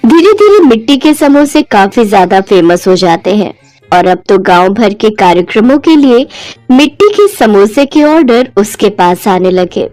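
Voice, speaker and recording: A woman narrating a moral cartoon story in a clear, steady storytelling voice. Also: medium pitch, measured pace, dry recording. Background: soft background music.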